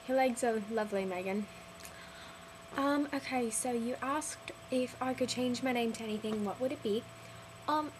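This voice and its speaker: A high-pitched young woman chats in a put-on British accent. She is excitable and gushing, rambling casually as a vlogger. Clear noise runs through the recording. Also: low-quality recording. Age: young adult. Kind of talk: casual video diary.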